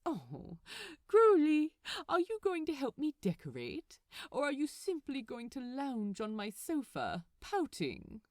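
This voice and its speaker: A woman's voice: high voice, huge pitch swings, measured pace, no room sound, studio quality, pitched above the performer's own voice, heavily acted. A woman voicing a cheerful, fussy male angel in a storybook reading, playfully chiding and fond. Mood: playful teasing.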